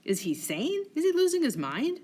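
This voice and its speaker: A woman's voice: high-pitched, huge pitch swings, moderate pace, darkish tone, voice pushed up. A woman takes the part of a visiting nobleman in a stage tragedy. The voice is lifted above her narration, polite and earnest. The figure questions what is seen with genteel disbelief. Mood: shocked disbelief.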